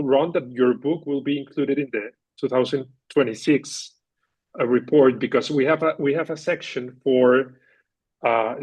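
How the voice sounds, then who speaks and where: A man's voice: medium pitch, breathy edge, no echo. A man giving an informal update in an online meeting, restarting phrases often. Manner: rambling, repetitive restarts. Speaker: report organizer. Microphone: video call. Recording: dull, dry video call audio.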